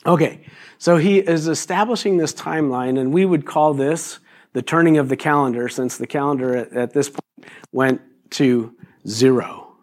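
A man in his fifties, a pastor, preaching a sermon in a loose, conversational way, his voice a little raspy today, with many fillers and restarts. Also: fairly high pitch, moderate pace, darkish tone. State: raspy voice this morning.

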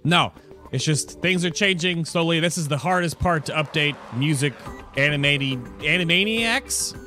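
A man's voice: fairly high pitch, moderate pace, pushed and bright. A man hosting a casual gaming show in a chatty way, with joking asides and self-interruptions. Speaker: podcast and stream host. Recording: music playing behind the voice. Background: background music.

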